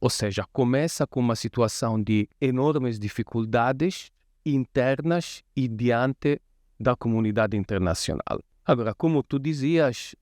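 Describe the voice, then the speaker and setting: A middle-aged man from Italy, giving explanatory interview answers as an academic guest in a measured manner. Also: mid-range voice, a little mellow, no echo, studio quality. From Livorno, Italy. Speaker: guest, sociology professor.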